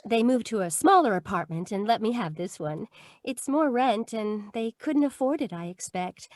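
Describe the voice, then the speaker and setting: A woman playing a chatty, admiring, excitable sweetheart in an old-time radio detective drama. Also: on the high side, moderate pace, dry recording, clean studio sound, natural voice. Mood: chatty, eager. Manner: gushing, chatty, eager. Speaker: actor. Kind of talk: old-time radio comedy-mystery acting. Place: live online video call.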